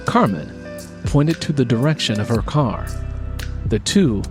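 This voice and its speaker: A man's voice: mid-range voice, very animated, measured pace, music in the background. A man reading scripted fiction as an audiobook narrator.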